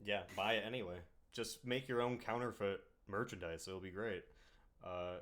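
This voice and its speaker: A man with a fairly low voice hosts a loose, casual podcast conversation. He drawls through his sentences and often lets them trail off into quiet mumbles. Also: steady tone, moderate pace. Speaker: podcast host.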